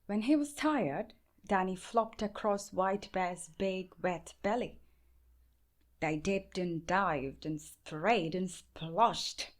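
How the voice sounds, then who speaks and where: Slow-paced Indian woman reading a bedtime story. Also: fairly low pitch. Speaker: storybook reader. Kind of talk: read-aloud children's bedtime story narration.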